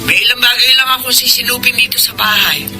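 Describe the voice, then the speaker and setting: An actress playing a helpful middle-aged older sister, speaking conversationally in a Filipino radio drama. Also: female voice, fairly high pitch, fast talker, over music, close to an everyday voice, rough recording. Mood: obliging, concerned.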